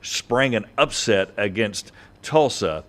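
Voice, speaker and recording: A man's voice: lowish voice, expressive, slow. A man hosting a sports podcast, talking and interviewing in a conversational way.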